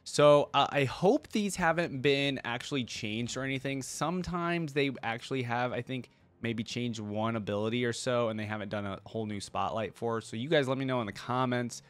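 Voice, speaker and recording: A man's voice: mid-range voice, normal speed, hardly any pauses, clean tone. A man hosting a reaction video, giving casual, slangy, exclamatory commentary.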